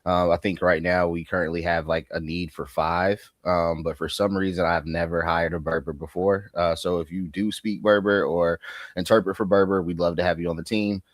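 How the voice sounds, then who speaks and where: A man with a very deep voice speaks as a recruiter on a panel. He answers in a relaxed, matter-of-fact way, and the sound is dry and close. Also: normal speed, dry recording. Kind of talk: answering interview questions.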